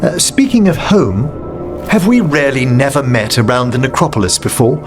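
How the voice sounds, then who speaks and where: An actor playing a genteel, middle-aged necromancer in a fantasy video game, with formal, eloquent and measured diction. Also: male voice, mid-range voice, expressive, natural voice. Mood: genial, conversational. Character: genteel, learned necromancer man, courtly and wordy. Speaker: companion character in a fantasy game. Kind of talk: dramatic video game dialogue. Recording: music behind the voice.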